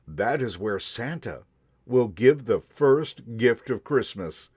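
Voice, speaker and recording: Man voicing a brisk storybook train conductor, loud bold calls, lively swings in pitch. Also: slightly rough, dry recording, clean studio sound. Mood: hearty. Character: hearty male train conductor, brisk and commanding.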